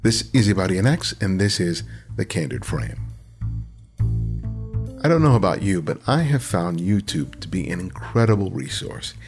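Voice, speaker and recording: Male podcast host, deep rough voice, animated, chatty delivery. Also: normal speed, over music. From United States. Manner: chatty, hesitant restarts when asking questions. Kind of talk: interview podcast. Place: home studio, Southern California.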